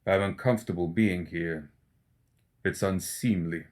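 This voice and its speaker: A man's voice: low voice, a little slow. A man voicing a stern, bald, vulture-like necromancer in an audiobook, formal and disdainful in manner. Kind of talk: audiobook character acting.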